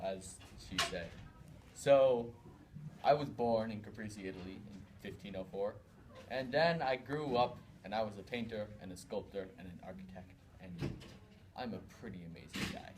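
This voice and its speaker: A man's voice: on the low side, moderate pace, background noise, natural voice. A guy playing a smug boastful artist. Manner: boastful, offhand. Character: boastful male Renaissance artist.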